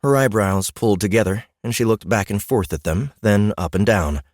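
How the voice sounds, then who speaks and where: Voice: sits low, moderate pace, darkish tone, no room sound. An audiobook narrator reading scripted fantasy fiction in a studio. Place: dry studio.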